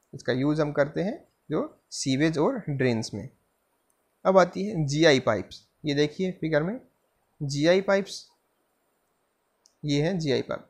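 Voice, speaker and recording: Male engineering lecturer, fairly high voice, measured unhurried delivery. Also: slightly rough. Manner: slow reading of slide text, with frequent "theek hai" fillers. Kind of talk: slide lecture, reading English lines then explaining in Hindi. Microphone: voice-over on screen-recorded slides.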